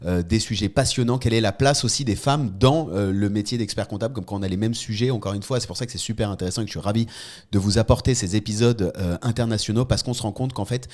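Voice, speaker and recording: Man, French accent, lowish voice, hesitant, dry close sound. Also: very fast, a little mellow, no room sound. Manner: hesitant, searching for English words. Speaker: podcast host. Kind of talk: interview intro and questions.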